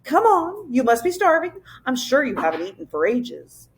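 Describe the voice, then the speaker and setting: A woman's voice: high-pitched, huge pitch swings, normal speed, slight background noise, voice pushed up, full character voice. A woman doing a lisping old moose-like king, nonchalant, a bit haughty, chatty, storybook creature. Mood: aloof, wistful. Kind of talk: children's storybook read-aloud.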